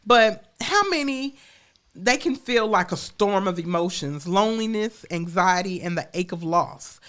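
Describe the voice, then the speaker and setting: A woman with a stuffy nose from a cold, hosting a podcast and introducing topics in a measured, scripted-sounding way. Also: medium pitch, a bit bright. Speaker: podcast host.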